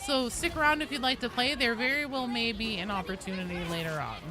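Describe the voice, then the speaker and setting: A woman's voice: fairly high pitch, quick pace, over music. A woman chatting casually and swearing freely while she live streams a game. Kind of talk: casual live gaming chat.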